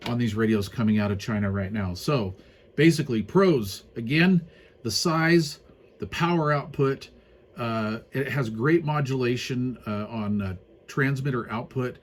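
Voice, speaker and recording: A man's voice: mid-range voice, a little hiss or hum. Casual, folksy man reviewing gear. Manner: folksy, plain-spoken with colloquial turns. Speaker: product reviewer. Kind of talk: casual hands-on review, unscripted.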